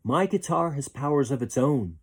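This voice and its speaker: A man's voice: medium pitch, expressive, moderate pace, slightly rough, voice pushed up. Man playing an earnest, kindly island singer. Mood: firm, earnest. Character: brave, kindly male island singer with magic guitar. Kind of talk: children's storybook character voice.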